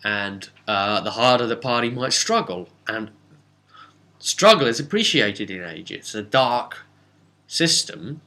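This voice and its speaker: A man speaking as a game master, giving a casual, rambling recap of a role-playing session with dramatic pauses and asides. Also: on the low side, animated, bright, forceful tone. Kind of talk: casual spoken recap and storytelling.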